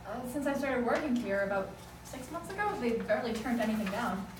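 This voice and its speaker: A woman's voice: a little high, animated, fairly quick, close to an everyday voice. A woman reading the part of a skeptical, reluctant law office assistant in an amateur script table read. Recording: distant, echoey, noisy room.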